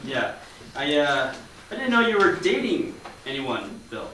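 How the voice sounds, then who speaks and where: A fussy, sarcastic businessman played by a man in a staged reading. His voice is high and very animated, heard from far off in a heavily echoing hall. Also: measured pace, exaggerated voice. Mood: sour, sarcastic. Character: irritated businessman waiting in line, fussy and sarcastic. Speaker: narrator and actor. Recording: echoey hall from far off, faint noise.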